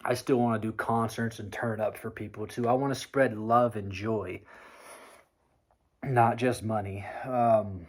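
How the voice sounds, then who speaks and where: A man's voice: on the low side, fairly even intonation, a little slow. A man lecturing bluntly and profanely in a motivational vlog, his voice sore and strained.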